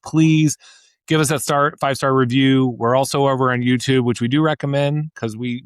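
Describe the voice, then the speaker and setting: A man's voice: mid-range voice, clear, resonant tone, no room sound. A man in his thirties co-hosting a podcast, talking in an enthusiastic, rambling way with many restarts. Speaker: podcast co-host. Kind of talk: casual chat.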